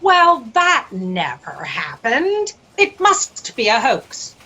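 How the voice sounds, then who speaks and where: Brief: imperious female boss played by an actress, slow, animated, highish voice, dismissive, sci-fi audio comedy. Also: highs cut off, heavily acted. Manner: commanding, dismissive, deliberate. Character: stern, imperious lady editor-in-chief, dismissive and proper. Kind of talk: sci-fi satire audio drama acting. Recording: noisy home video-call audio.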